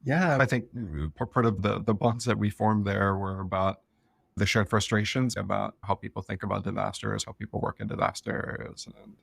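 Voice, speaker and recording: Low flat man's voice, a bit gravelly, thoughtful podcast guest, dry sound. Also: normal speed, no echo. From France and elsewhere. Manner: thoughtful, with frequent restarts. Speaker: professor, guest expert. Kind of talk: conversational podcast interview.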